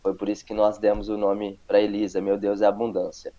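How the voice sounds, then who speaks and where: A pastor in his thirties with a mixed Brazilian accent, calmly telling his story in an interview, with many halting tag questions. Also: lowish voice, crowd noise. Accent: mixed Brazilian Portuguese, northeastern and paulista. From Paraíba, Brazil. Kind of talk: casual testimony interview. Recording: muffled lo-fi sound.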